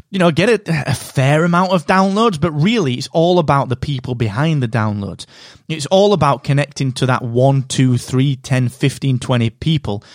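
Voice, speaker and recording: A man hosting a solo podcast, giving direct, energetic advice while sounding slightly nasal from a cold. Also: mid-range voice, no room sound, studio quality. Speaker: podcast host.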